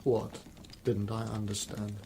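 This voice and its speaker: An actor playing a sick, guilt-ridden monk in a period radio drama, halting and anguished in his speech. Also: fairly low pitch, flat delivery, dark tone, husky. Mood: stricken.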